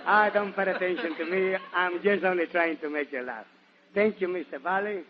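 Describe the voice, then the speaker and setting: A man's voice: sits high, normal speed. A man giving a theatrical, playful comic monologue as a guest on a 1940s radio show. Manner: theatrical, playful, breaking into sung syllables and shouts. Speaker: guest comedian. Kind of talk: comic musical monologue to a live audience. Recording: noisy, muffled 1940s radio broadcast.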